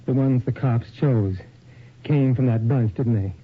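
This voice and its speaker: A man's voice: medium pitch, unhurried, breathy edge. An actor playing a suspicious male assistant in a radio drama, with a pointed, questioning, natural delivery. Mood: probing, urgent. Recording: tinny old radio recording, faint noise.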